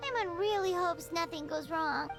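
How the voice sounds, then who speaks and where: Tiny squeaky fairy girl, very high. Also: moderate pace. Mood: chirpy. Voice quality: squeaky, childlike. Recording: game audio under loud music.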